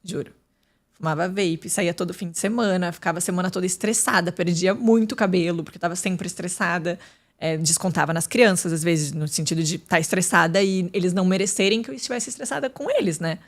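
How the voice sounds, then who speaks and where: A woman's voice: on the low side, brisk. A woman, a podcast guest talking candidly and casually, with many fillers and restarts. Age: 30s. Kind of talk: casual interview chat.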